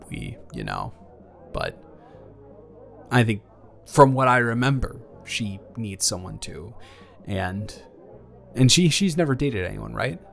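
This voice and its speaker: A man's voice: lowish voice, fairly bright tone, heavily acted. A young man playing an awkward, caring college-age ex-boyfriend in an improvised intimate audio roleplay, earnest and stammering as he rambles. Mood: sheepish, tender. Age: young adult.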